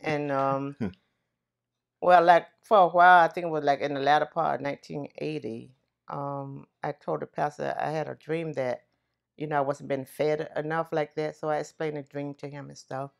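Woman, gravelly, lively storytelling about the pastor. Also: deep voice, normal speed. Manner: lively, laughing. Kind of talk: interview reminiscence.

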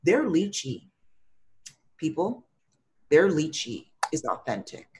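A woman co-hosting a casual panel chat on a video call, talking in a playful, animated way. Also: low-pitched, takes it slowly. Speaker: co-host. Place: room at home.

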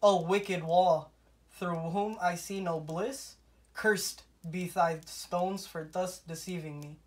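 Woman playing a slow, pompous puppet lover. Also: on the low side, fairly even intonation. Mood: ardent. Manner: hammy, declamatory. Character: pompous melodramatic young male lover, a sock puppet. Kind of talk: amateur puppet-show Shakespeare verse. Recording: noisy home recording.

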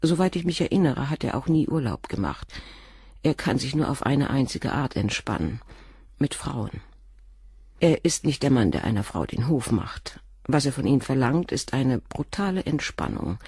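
An actress playing a composed middle-aged doctor's wife and former nurse, speaking frankly and in a measured way in a radio drama. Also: female voice, rumbling low, very animated, a little mellow, slightly rough, dry recording, studio quality, exaggerated voice. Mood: earnest. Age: 40s. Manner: measured, frank, controlled confession. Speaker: witness questioned by the inspector.

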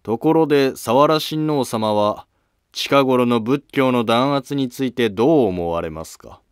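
A man's voice: mid-range voice, measured pace, smooth, dry recording, studio quality, lightly acted. A man playing a polite, probing court noble in a historical drama, speaking in formal, deferential, insinuating phrases. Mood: probing, courteous. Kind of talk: scripted historical drama dialogue.

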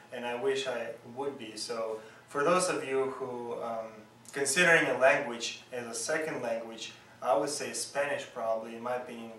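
A man in his thirties with a Russian accent, a dentist speaking earnestly in interview answers, pausing often with fillers. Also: mid-range voice, a bit bright, distant mic, a little hiss or hum. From former Soviet Union. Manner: earnest, hesitant with many fillers. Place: dental office reception area, indoors. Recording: roomy echo, off-mic, music behind.

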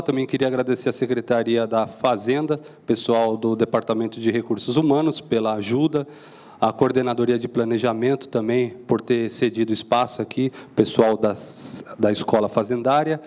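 A man's voice: mid-range voice, a little mellow. A man in his twenties speaking from a lectern in an auditorium, formally welcoming the audience, thanking people and introducing a guest. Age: 20s. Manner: polite, thanks and introduces at length. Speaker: event host. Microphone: stand microphone.

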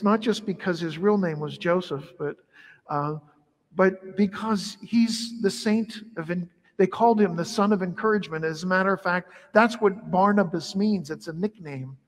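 A middle-aged priest preaches in a lively, conversational way, his voice sitting high for a man. The sound is caught from across a large church sanctuary, with a long reverb trailing each phrase. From Ireland heritage. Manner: animated, conversational preaching with wide arm gestures. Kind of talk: sermon.

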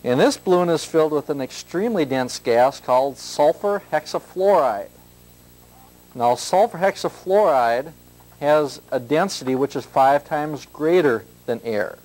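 A man's voice: a little high, animated, measured pace, a little mellow, rough recording. A man, a chemistry professor giving a playful, informal science demonstration. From United States. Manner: playful, jovial. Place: school science classroom.

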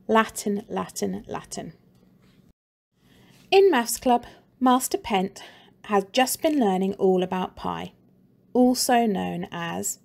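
A woman teacher reads a funny children's story aloud, taking her time over each phrase. Her voice has a gravelly edge, and she plays up the jokes. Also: mid-range voice. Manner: slow, expressive, playful.

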